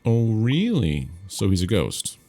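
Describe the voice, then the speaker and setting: Low, creaky, animated man gaming. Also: takes it slowly. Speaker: gaming video host. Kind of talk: casual let's-play commentary, reading dialogue choices.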